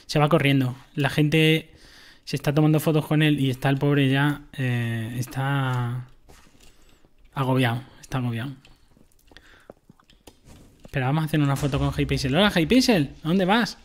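A man's voice: a little high, moderate pace. A man giving casual commentary on a game, explaining items to his viewers. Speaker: gaming streamer.

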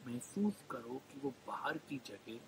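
Slow-paced man guiding meditation, Indian accent. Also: mid-range voice, sing-song, vocal fry, background noise. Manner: gentle, soothing, with long pauses and repeated phrases. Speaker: meditation guide. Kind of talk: live guided meditation. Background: background music.

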